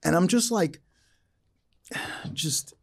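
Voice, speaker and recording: A man hosting a casual interview, talking in an enthusiastic, opinionated way with halting asides. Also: high voice, creaky. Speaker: interview host.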